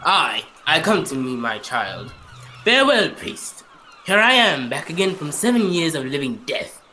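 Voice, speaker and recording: A woman's voice: sits low, sing-song, measured pace, bright, forceful tone, slight background noise, heavily acted, poor audio. A woman acting a bitter, cursed undead sea captain with archaic, menacing speech in a radio drama. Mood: bitter, vengeful.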